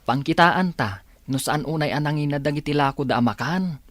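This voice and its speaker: A man's voice: on the high side, brisk, no echo, close to an everyday voice. A man playing an easygoing young student making plans with his friend in a radio drama. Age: young adult. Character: easygoing young male student, a practical buddy.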